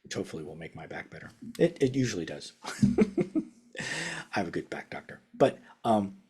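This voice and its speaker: An older man teaching casually over a video call from his home study. His talk rambles, with restarts, chuckles and phrases that trail off softly. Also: sits low, lively intonation, a little fast, slightly rough. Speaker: meditation teacher. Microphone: video call webcam.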